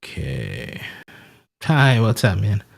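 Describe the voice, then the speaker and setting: A man's voice: lowish voice, wildly swooping pitch, slow, gravelly. A man hosting a casual live stream, greeting viewers and rambling aloud while he fiddles with settings. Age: adult with a wife and family.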